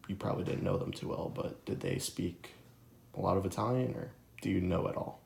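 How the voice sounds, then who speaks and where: Young guy asking questions, deep creaky voice, echoey room, lots of um and like. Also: a little fast, a little mellow. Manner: hesitant, lots of fillers and restarts, laughs easily. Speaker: interviewer. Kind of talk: casual student interview.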